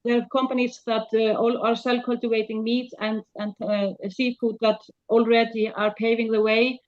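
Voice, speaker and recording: A woman startup founder answering questions about her product in non-native English, halting, with many fillers. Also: a little high, level tone. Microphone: video call. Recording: dull lo-fi video call audio.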